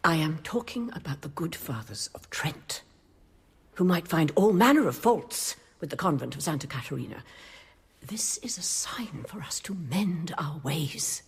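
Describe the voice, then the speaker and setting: An actress playing a devout, severe older nun in a radio drama, husky and breathy, pressing her points with pious disapproval. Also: low voice, expressive, a little slow, slight background noise. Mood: pious, accusing. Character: devout, severe, zealous elderly nun, stubbornly challenging authority.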